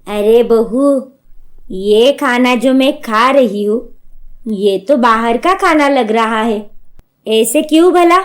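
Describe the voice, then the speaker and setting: A woman's voice: fairly high pitch, slow pace. A woman voicing a bossy, hot-tempered mother-in-law in a cartoon story, theatrical and scolding in manner. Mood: suspicious.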